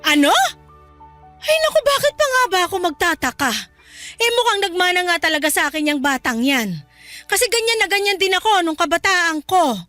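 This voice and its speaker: A woman's voice: very high voice, sing-song, brisk, pushed and bright, faint music behind, full character voice. A woman playing a worried, exasperated middle-aged mother in a Filipino radio drama, emotive and pleading. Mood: impatient, agitated.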